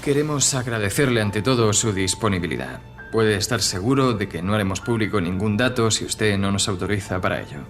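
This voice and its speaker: An actor playing a polite, businesslike, measured FBI chief in a radio drama. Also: male voice, fairly low pitch, faint music behind, lightly acted. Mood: formal, courteous. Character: formal, measured male FBI unit chief. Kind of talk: Spanish radio drama acting.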